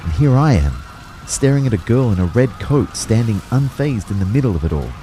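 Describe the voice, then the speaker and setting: A man's voice: medium pitch, very animated, a little fast, mellow, a little hiss or hum, lightly acted, rough recording. A man acting a dazed young man who narrates a disaster in the first person, as dramatic scripted storytelling. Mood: tense, reflective.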